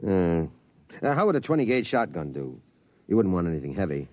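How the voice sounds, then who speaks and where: An easygoing small-town dad, low and mellow, with a lively rise and fall. He muses in a folksy way, as in a muffled vintage radio play. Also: a little fast, no room sound. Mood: obliging. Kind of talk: 1950s radio drama acting.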